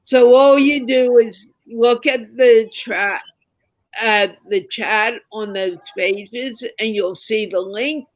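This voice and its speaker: A woman hosting an online group call, slowly guiding participants. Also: a little high, dry recording, rough recording. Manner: slow, directing participants. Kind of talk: casual group video call.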